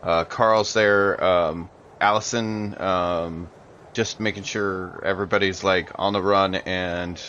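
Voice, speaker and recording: A man chatting casually as a player on a gaming podcast, halting and stumbling a little over words. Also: low-pitched, steady tone, fairly bright tone. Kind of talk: casual chat. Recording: dull, noisy lo-fi mic.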